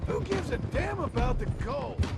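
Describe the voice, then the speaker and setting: A gravelly, hot-headed outlaw. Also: male voice, very high-pitched, lively intonation, normal speed, full character voice. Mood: furious. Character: hot-headed young outlaw father, angry and defiant. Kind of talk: dramatic Western video game acting. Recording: loud music behind.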